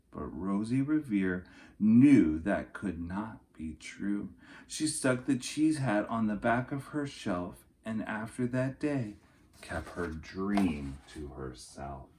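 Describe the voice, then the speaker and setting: Male storybook reader, deliberate and theatrical, highly varied intonation, unhurried delivery. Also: lively intonation, a little mellow. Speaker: teacher reading a storybook aloud. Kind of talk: expressive children's storybook read-aloud with casual asides.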